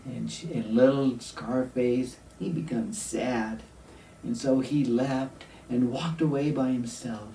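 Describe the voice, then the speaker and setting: Slow-paced male storyteller in a roomy space. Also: mid-range voice, steady tone, warm tone. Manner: deliberate, with dramatic pauses and questions to the listener. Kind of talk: oral storytelling to children. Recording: roomy echo, distant mic, faint noise.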